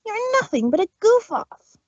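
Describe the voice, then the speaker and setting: A woman's voice: very high voice, sing-song, moderate pace, dry recording, voice pushed up. A woman voicing a brash, scornful tomboy female pony in a fan reading. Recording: dull dry lo-fi stream audio.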